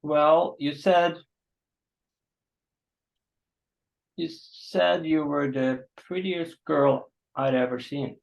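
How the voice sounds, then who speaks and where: A man acting a gentle, awkward, solicitous host in a staged play reading, hesitant and coaxing. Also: a little high, steady tone, takes it slowly, creaky. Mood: awkward, apologetic. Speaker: actor. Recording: dull, muffled sound.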